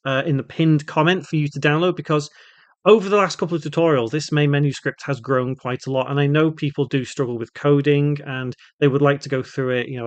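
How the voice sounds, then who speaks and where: A man hosting an informal step-by-step software tutorial, thinking aloud as he works through it. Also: fairly high pitch, dry recording. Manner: thinks aloud while working, spelling out code symbol by symbol. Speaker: tutorial host, game development instructor.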